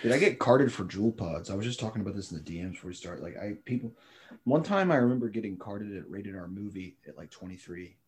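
A young man co-hosting a podcast, telling rambling stories full of fillers and deadpan jokes. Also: on the low side, fast talker. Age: young adult. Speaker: podcast co-host. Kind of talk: casual chat.

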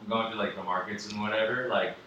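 A man's voice: on the low side, steady tone, quick pace, bright, forceful tone, muffled recording. A man co-hosting a podcast, chatting casually in an informal, rambling way. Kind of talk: casual chat, anecdotal. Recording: very echoey room, distant from mic, faint noise.

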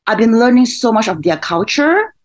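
A woman's voice: fairly high pitch, very animated. Reflective woman teacher. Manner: reflective, filler-heavy with "you know" and quick laughs. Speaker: teacher and guest.

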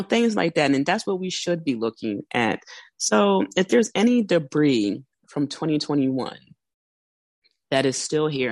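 A woman hosting a spiritual podcast in casual solo chat. She is a little hoarse, and she laughs often and breaks off her own sentences. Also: low-pitched, dry recording. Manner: chatty, laughs often, self-interrupting. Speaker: podcast host.

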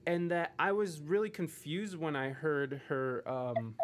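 A man's voice: a little high, fairly even intonation, a little slow. A man in a casual livestream chat, speaking in a hesitant, rambling, self-deprecating way as he interviews. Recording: faint background noise.

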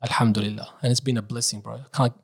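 A man's voice: medium pitch, little pitch movement, quick pace, gravelly, breathy edge. Young guy from iraq, laid back storyteller, throws in arabic phrases. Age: young adult. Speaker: religious reciter guest. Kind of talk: casual podcast interview.

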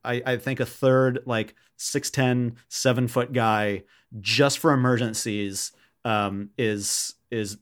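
A man hosting a casual sports podcast, talking in a halting, hedging way. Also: medium pitch, steady tone. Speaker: podcast host.

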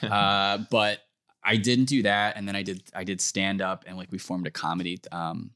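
A young man chatting casually as a podcast guest about his creative work, rambling along in an animated way. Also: low-pitched, normal speed. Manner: rambling, animated storytelling with frequent "like". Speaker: podcast guest, sketch comedy writer.

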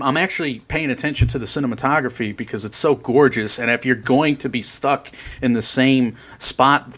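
A man guests on a casual film podcast with a mid-pitched, ordinary voice. He rambles through his thoughts, jokes at his own expense and laughs along. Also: fairly bright tone. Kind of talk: casual film review chat.

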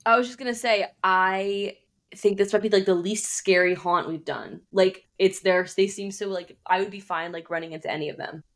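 A woman's voice: mid-range voice, steady tone, normal speed. Chatty young woman co-host. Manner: chatty reactions, filler-heavy. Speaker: podcast co-host. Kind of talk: casual chat.

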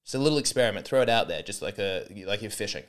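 A man's voice: lowish voice, expressive, very fast. A young man hosting a solo comedy podcast, rambling and sarcastic with many false starts.

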